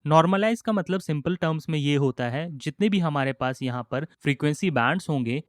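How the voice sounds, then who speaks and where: A male instructor narrates a music software lesson in a slightly high voice. His delivery is measured and explanatory, sprinkled with English technical terms. The recording is close-miked and very dry. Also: no echo. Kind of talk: scripted-sounding software tutorial narration. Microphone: close studio microphone, voice-over.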